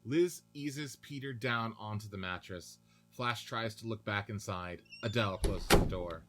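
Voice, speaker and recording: Man, lowish pitch, scripted narration, stage directions, radio play. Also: a little slow, over loud music.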